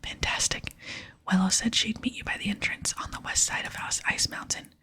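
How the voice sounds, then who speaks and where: A woman's voice: a little fast, bright, forceful tone, natural voice. A woman whispering the game dialogue of an encouraging, approving fantasy quest ally in ASMR style. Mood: admiring.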